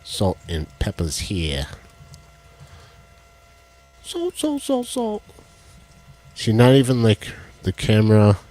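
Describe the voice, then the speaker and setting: A man with a deep voice, co-hosting a casual live stream and joking as he fiddles with his tech. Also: huge pitch swings, takes it slowly, long pauses, dark tone. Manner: rambling, jokey, fiddles with tech while talking. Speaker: co-host of a live stream show. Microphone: headset with headphones.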